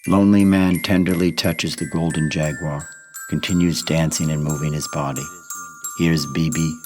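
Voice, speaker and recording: A man narrating a poetic radio play in a solemn, deliberate manner. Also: very deep voice, a little mellow. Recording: long reverberant hall, mallet music behind.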